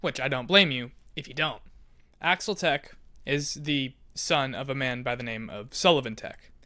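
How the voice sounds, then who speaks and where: A man's voice: medium pitch, moderate pace, sharp tone, no room sound. A man hosting in an informal, self-deprecating way, casually explaining a story to listeners. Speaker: podcast host and creator.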